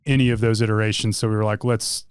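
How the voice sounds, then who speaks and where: A man's voice: fairly low pitch, flat delivery, quick pace, smooth, dry recording, clean studio sound. A man in a band, talking casually in an interview and giving long, rambling explanations full of restarts. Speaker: band member.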